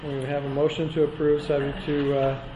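A man's voice: on the high side, normal speed. Man, board chair, formal agenda reading, muffled public-chamber recording, background noise. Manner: reads agenda items in a steady, formal cadence. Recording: echoey meeting room with dull sound.

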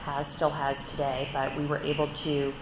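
A woman presenting a development application to a board, brisk and practiced. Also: sits low, completely flat delivery. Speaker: applicant's representative. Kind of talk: public hearing presentation. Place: public meeting chamber. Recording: very echoey chamber with loud background noise.

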